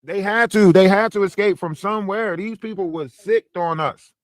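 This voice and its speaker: A man's voice: sits high, moderate pace, no room sound, studio quality. A man talking in a casual live stream, emphatic and repetitive, often asking if he is heard. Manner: emphatic, repeating "you hear me".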